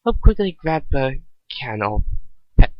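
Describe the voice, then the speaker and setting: A man reads fiction aloud as an amateur narrator. His delivery is halting, with stumbles and repeated words. The sound is dry and dull, as if from a cheap microphone up close. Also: mid-range voice, sing-song, no room sound. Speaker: amateur reader of a fan story. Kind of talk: dramatic reading of written fiction.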